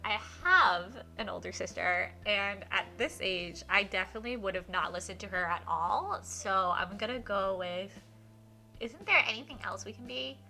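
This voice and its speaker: A woman's voice: medium pitch, animated, moderate pace, vocal fry, sharp tone, a little hiss or hum. A woman streamer reading a visual novel aloud expressively, with casual asides to her viewers and game music behind her.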